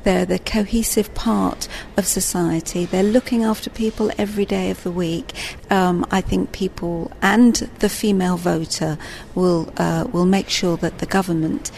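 A woman's voice: lowish voice, steady tone, moderate pace, creaky, a little mellow, a little hiss or hum, rough recording. A British woman giving interview comments as a union official.

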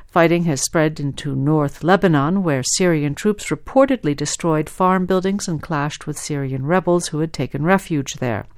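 A woman's voice: low-pitched, normal speed, few pauses, no echo, clean studio sound. A woman presenting international radio news in a scripted reading style.

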